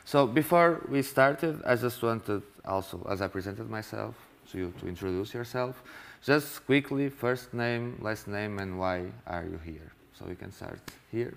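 A man in his thirties leads a masterclass in a classroom, speaking haltingly and often restarting his sentences. His voice is gravelly and on the low side. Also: a little hiss or hum. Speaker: workshop leader. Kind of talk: masterclass talk. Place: classroom with projector.

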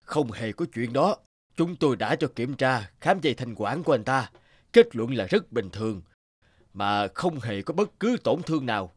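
A man's voice: medium pitch, very animated, normal speed, slightly rough, no echo, studio quality. A man voicing a burly prison lieutenant who speaks formally and officially in a dubbed cartoon. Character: burly male prison lieutenant, stern but polite.

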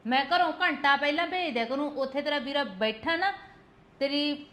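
An actress playing a blunt, protective young sister-in-law in a village family drama, scolding and insisting. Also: female voice, high-pitched, normal speed, a bit bright. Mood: fierce urging. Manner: scolding, insistent, repeats demands for attention.

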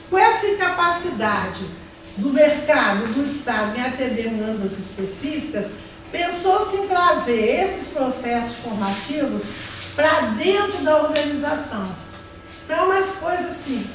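A middle-aged Brazilian woman lecturing to a class, her fairly high voice bouncing around a big echoing room. She is picked up by a distant camera, with steady background noise. She explains at length, restarting phrases and checking in with her listeners. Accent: Brazilian Portuguese. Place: large classroom with a projector. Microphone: no mic, far camera. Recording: echoey room from far off, noisy.

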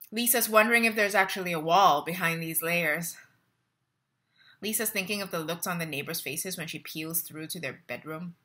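Woman acting young woman, lonely, wry, restless, bright edgy tone, dramatic play reading. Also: on the low side. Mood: wry, musing. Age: young adult. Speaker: actor in a staged play reading. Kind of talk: dramatic monologue read as social media status updates. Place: living room at home. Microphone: laptop webcam at close range.

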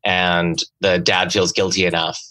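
A man co-hosting a literary podcast from a video call, talking in a halting way with many restarts. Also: low voice, little pitch movement, pushed and bright. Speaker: podcast co-host. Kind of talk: casual literary discussion. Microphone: remote video call.

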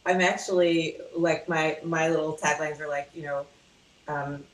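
A woman chatting casually on a video call in a halting, self-interrupting way, as a coach would about their work. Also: low-pitched, fairly even intonation. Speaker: mindfulness coach. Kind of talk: casual chat. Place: indoors at home, on a video call. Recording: roomy echo on a video call.

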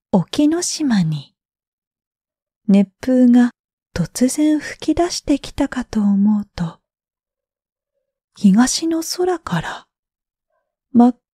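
A woman narrating bedtime stories for sleep in a gentle, drawn-out way with breathy phrase endings. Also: on the high side, no room sound, studio quality. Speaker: storyteller, freelance announcer.

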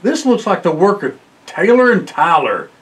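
An older man reading a children's picture book aloud in an expressive way, with exclamations and short asides. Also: high voice, normal speed. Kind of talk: storybook read-aloud for children. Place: indoor library room, seated by bookshelves.

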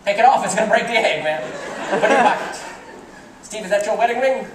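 Male stage magician, wisecracking, animated, heard from the back of a roomy theater. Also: high-pitched, quick pace, background noise. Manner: wisecracking, teasing a volunteer. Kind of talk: live comedy magic act. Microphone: far audience camera. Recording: roomy theater sound from far off. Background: laughing, cheering audience.

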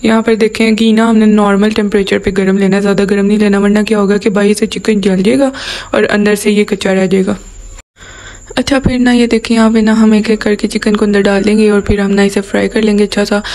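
Chatty young woman with a gravelly voice. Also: mid-range voice, a little mellow. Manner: chatty, lots of filler "na", giggles. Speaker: cooking vlogger. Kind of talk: casual cooking voice-over.

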